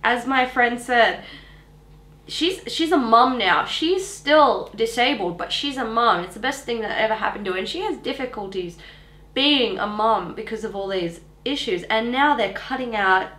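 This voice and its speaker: A woman's voice: a little high, lively intonation, normal speed, bright, forceful tone, reverberant. A woman in her twenties with an Australian accent, chatting casually and bluntly, with colloquial opinions. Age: 20s.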